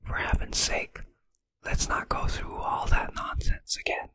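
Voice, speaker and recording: A reader voicing a gloomy, weary male earthworm from a storybook in a breathy whisper. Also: measured pace, pushed and bright. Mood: exasperated.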